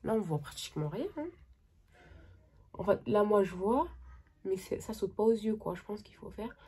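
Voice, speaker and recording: A young woman with a cold talks through her makeup tutorial in a husky, breathy voice. She chats eagerly and casually, full of slang and half-finished sentences, with her voice sitting around the middle of a woman's range. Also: mid-range voice, moderate pace. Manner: chatty, excited, slangy, trails off often. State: has a cold. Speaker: makeup vlogger.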